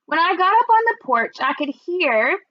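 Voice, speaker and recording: A woman storyteller reading a first-person children's book aloud in an expressive, measured way. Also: very high-pitched, dry recording, lo-fi sound.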